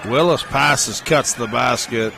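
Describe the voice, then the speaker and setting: Unhurried male play-by-play caller over clear court noise, punches up on made shots. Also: medium pitch. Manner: rapid name calls, rising on made shots. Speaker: play-by-play announcer. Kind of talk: basketball play-by-play commentary. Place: gym at a high school basketball game. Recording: game broadcast with gym noise. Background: crowd and court noise of the game.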